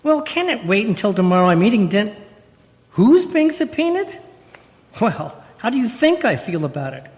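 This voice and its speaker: Man playing high-voiced harried prosecutor, reverberant hall. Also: big pitch swings, moderate pace. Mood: exasperated. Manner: exasperated, emphatic. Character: harried, indignant male prosecutor and family man. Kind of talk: old-time radio play acting. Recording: reverberant hall, stage radio play.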